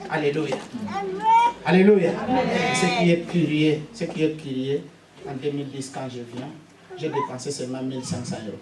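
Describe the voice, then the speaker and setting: A man from Congo preaching a fervent sermon and giving personal testimony, punctuating it with repeated hallelujahs, sometimes shouting, sometimes stumbling and repeating words. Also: on the high side, fairly even intonation, normal speed, heavy background noise. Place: church. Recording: roomy church hall, mic at a distance, congregation audible. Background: congregation responding.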